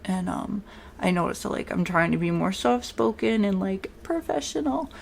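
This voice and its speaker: Gravelly New York woman vlogger. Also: medium pitch, animated, moderate pace, a little hiss or hum. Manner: chatty, blunt, says like a lot. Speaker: makeup vlogger. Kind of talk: casual makeup tutorial chat.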